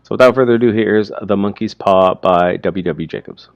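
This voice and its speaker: A man teaching through informal, explanatory commentary on a story, speaking haltingly as he thinks aloud. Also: fairly low pitch, gravelly, dull audio.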